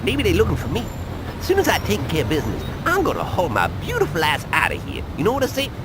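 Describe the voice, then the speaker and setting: An excitable, cocky snitch in a crime game. The voice is very high for a man and leaps wildly in pitch, full of jive slang, over clear traffic noise. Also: quick pace. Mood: nervous bravado. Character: jittery, cocky male street informant, fast-talking hustler. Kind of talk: video game cutscene acting.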